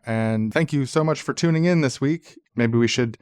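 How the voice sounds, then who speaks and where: A man's voice: mid-range voice, moderate pace, a little mellow, clear, resonant tone, dry recording, clean studio sound. A man co-hosting a podcast, bantering casually and comedically with a wry, mock-serious delivery. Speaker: podcast co-host.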